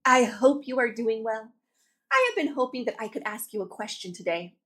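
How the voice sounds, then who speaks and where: A woman hosting a children's read-aloud show, speaking to kids in an expressive, instructive, scripted way. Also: fairly high pitch, normal speed.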